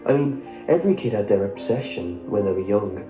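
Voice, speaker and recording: A man narrating a scripted first-person story in a measured, wistful manner. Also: lowish voice, lively intonation. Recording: roomy echo, music behind. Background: background music.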